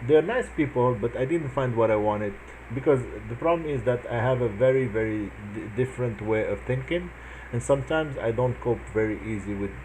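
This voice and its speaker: Earnest, halting man from Morocco. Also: medium pitch, darkish tone, slight background noise, poor audio. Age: 40s. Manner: earnest, halting with many restarts and fillers. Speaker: vlogger answering his wife's questions. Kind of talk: casual chat.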